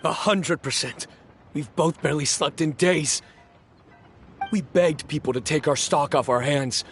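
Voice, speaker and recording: A man's voice: a little high, a little slow, slight background noise. A man playing an anxious, down-on-his-luck small-time merchant in a video game, earnest and flustered as he speaks. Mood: desperate.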